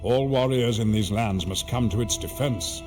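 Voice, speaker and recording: A man playing a wise, grave old wizard in a video game, narrating in a solemn, measured way. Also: mid-range voice, music in the background, natural voice. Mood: grave warning.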